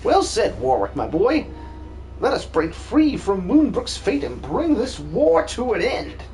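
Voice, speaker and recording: A man's voice: sits high, wildly swooping pitch, measured pace, a little mellow, a little hiss or hum, exaggerated voice, poor audio. A man voicing a pompous, rousing royal ruler with grandiose, archaic speech in a fantasy game fan dub. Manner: grandiose, theatrical, archaic wording.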